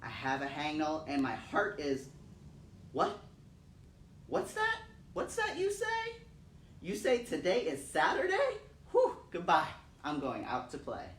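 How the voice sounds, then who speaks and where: A man's voice: fairly high pitch, wildly swooping pitch, unhurried, a bit bright, heavily acted. Casting: man plays whiny, melodramatic little girl faking sickness for a storytime poem; roomy, distant recording. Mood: surprised, gleeful. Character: little girl melodramatically listing ailments to skip school. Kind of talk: children's poem read aloud. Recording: roomy, distant mic, faint noise.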